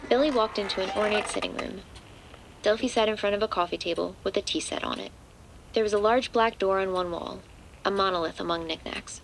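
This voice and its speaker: A woman's voice: mid-range voice, a little fast, creaky, slight background noise, lightly acted, rough recording. A woman playing the composed narrator of a horror audio drama, reading scripted prose as a storyteller.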